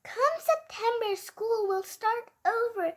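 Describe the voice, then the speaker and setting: A female storyteller reading a children's book aloud, expressive and emphatic, word by word with an occasional stumble. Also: extremely high pitch, slow pace.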